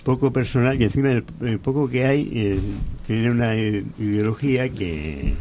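A man's voice: mid-range voice, moderate pace, dark tone, slight background noise. A man in a group discussion who insists on his point and repeats phrases. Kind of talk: discussion about psychiatry.

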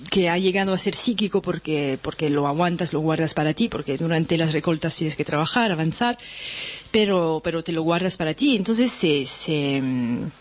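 Halting woman with a French accent. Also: low voice. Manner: halting, searching for words, self-correcting. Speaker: presenter sharing a reading. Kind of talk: informal spoken presentation.

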